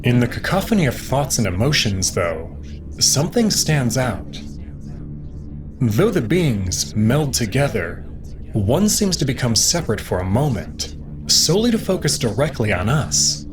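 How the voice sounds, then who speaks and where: A man reading dramatic first-person fiction in a dreamy, drawn-out way. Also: mid-range voice. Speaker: reader voicing a first-person protagonist. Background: music.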